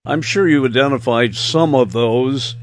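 A man's voice: medium pitch, a little slow, slight background noise. A man, a radio host chatting casually to his listeners as he wraps up his show. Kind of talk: casual chat sign-off to listeners.

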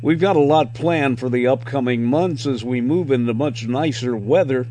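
A man's voice: medium pitch, moderate pace, warm tone. Male radio host, faint-edged hum behind. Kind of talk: casual chat sign-off to listeners.

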